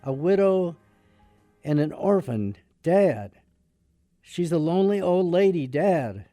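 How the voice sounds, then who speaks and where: A soft-hearted debt collector in a radio play, acted by a man, reluctant and polite. His voice is mellow and fairly high, dry and close, with slow, hesitant, earnest phrasing. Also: animated, dry recording, soft background music. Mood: pleading. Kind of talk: radio drama acting.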